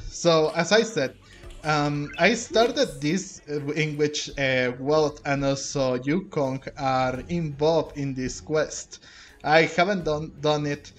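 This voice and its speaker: A man giving casual, rambling let's-play commentary as a game streamer, speaking haltingly. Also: on the high side. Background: game music.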